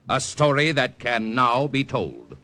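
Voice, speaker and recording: A man's voice: medium pitch, sing-song, takes it slowly. A man announcing in a dramatic 1950s radio style. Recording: old radio broadcast with loud music.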